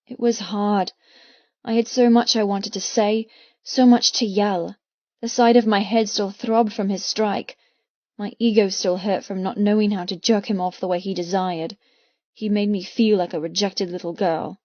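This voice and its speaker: A female audiobook narrator with a medium-pitched voice reads first-person fiction expressively and intensely. The recording is very dry and slightly muffled, with no room around the voice. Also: steady tone, moderate pace, no echo. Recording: slightly muffled, dry close studio sound.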